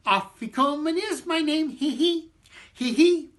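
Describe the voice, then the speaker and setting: A man voicing a tiny, excitable, weepy matzo man from a children's storybook, squeaky, stammering and prone to whimpers and giggles. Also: measured pace, exaggerated voice. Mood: gleeful singsong.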